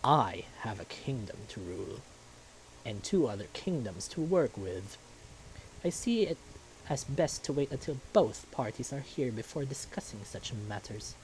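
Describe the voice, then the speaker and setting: A man voicing a stately furry animal king who is fatherly, calm but stern, with formal, measured, commanding speech. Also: medium pitch, slight background noise, poor audio.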